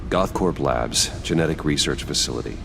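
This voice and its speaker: An actor playing a brooding, determined vigilante detective in a video game, with an American accent, terse and grave in manner. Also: male voice, normal speed, gravelly, background noise. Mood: analytical, grave. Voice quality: very low, weighty voice.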